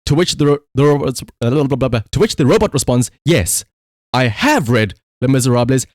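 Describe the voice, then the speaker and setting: A man's voice: mid-range voice, expressive, fairly quick, darkish tone, dry recording, studio quality. A man giving casual livestream commentary in a rambling, conversational, emphatic way, as the host talking to viewers.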